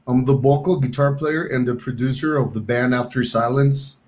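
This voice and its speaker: A man's voice: mid-range voice, steady tone, normal speed, darkish tone. A man, singer and guitarist in a band, friendly and laid-back in a casual interview. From Mexico.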